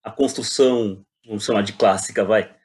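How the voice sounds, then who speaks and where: A man's voice: on the low side, fairly even intonation, moderate pace, a little mellow. A Brazilian man talking casually and reflectively as an interview guest, often repeating words as he searches for phrasing. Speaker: playwright and poet guest.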